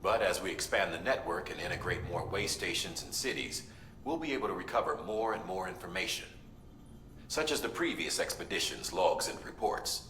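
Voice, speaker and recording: An actor playing a considerate mission briefer in a video game, explaining things in a conversational way. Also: male voice, low voice, lively intonation, a little fast, a bit bright, roomy sound, slight background noise. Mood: considerate, informative. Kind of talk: scripted game dialogue.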